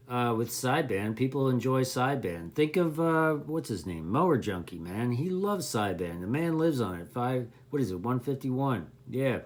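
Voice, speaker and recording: A man giving a casual, unscripted hobby explainer in a rambling, folksy way, often correcting himself as he goes. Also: mid-range voice. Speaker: CB radio hobbyist explaining gear to beginners.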